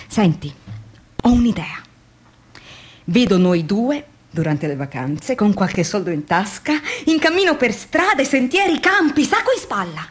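A woman's voice: mid-range voice, very animated, unhurried, husky. An actress playing an earnest, weary woman factory worker in a radio drama monologue, reflective and intense in a theatrical way. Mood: dreamy, hopeful. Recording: noisy radio drama with background ambience.

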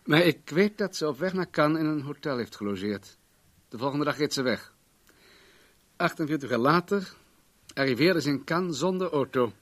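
An actor playing a wealthy, controlling businessman in a radio play, formal, guarded and self-assured in a measured way. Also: fairly high pitch, expressive, fairly bright tone. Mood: matter-of-fact.

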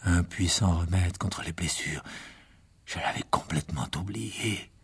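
A man voicing a cruel, gloating young dark wizard, speaking in a slow, low, menacing murmur. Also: vocal fry, slightly rough, heavily acted. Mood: quiet sinister. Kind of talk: audiobook character voice.

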